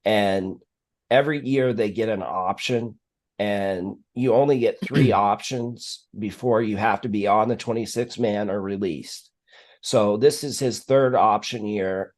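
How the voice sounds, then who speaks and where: Male sports stream host, lower-pitched voice, measured and explanatory, casual analysis. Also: a little mellow.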